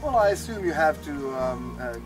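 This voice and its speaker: A man in his thirties from Israel speaks to a tour group at an open-air hilltop lookout, filmed by a camera some way off. He talks in a relaxed, joking way, with plenty of fillers and restarts, in a somewhat high voice. Loud music plays over the recording. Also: a little fast. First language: Hebrew. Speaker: local guide. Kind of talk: casual talk to a tour group. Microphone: far camera.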